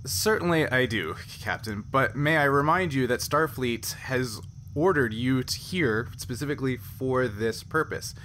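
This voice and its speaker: A man acts out an exasperated male scientist in a tabletop roleplay, formal and insistent. The voice is bright and forward at a middling pitch, over noticeable background noise. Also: unhurried, natural voice. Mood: impatient, defensive. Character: testy, defensive male chief scientist, impatient but polite.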